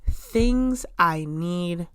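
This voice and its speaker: A young woman chatting casually to camera as a vlogger at home, expressive, with lots of "like" fillers and sudden bursts of emphasis. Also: fairly low pitch, very slow, very noisy. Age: young adult. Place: room in her home.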